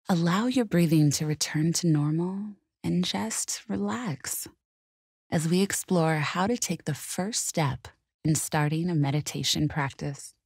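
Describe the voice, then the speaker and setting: A woman leading a guided meditation in a calm, gentle, soothing and measured way. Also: low voice, husky, dry recording, studio quality.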